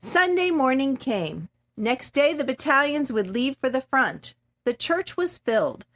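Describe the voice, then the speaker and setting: A woman giving a dramatic reading of a classic story in a measured, expressive storytelling manner. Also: sits high. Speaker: guest reader.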